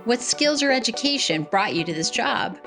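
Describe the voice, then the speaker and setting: A woman's voice: on the high side, sing-song, moderate pace, vocal fry, fairly bright tone, soft background music. Woman asking short interview questions. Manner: asks short plain questions.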